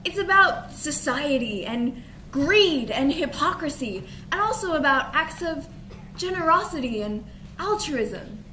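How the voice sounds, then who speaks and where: A woman's voice: high voice, sing-song, measured pace, sharp tone, heavily acted. A woman acting an earnest, pompous theatregoer in an amateur stage comedy. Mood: earnest, pretentious. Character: earnest, pretentious theatregoer gushing self-contradictory praise. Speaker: student actor in a school play. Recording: echoey stage recording with faint noise.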